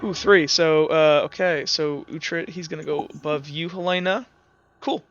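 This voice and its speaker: A man running a tabletop roleplaying game as game master in a casual, halting way, thinking aloud as he narrates. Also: on the high side, highs cut off, music in the background.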